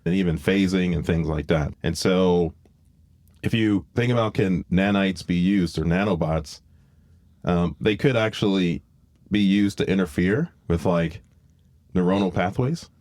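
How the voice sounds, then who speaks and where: A man's voice: sits low, unhurried, a little mellow, no echo, clean studio sound. A man hosting a podcast, explaining things in an informal, rambling way with self-corrections. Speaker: podcast host, biologist. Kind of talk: casual explanatory podcast talk.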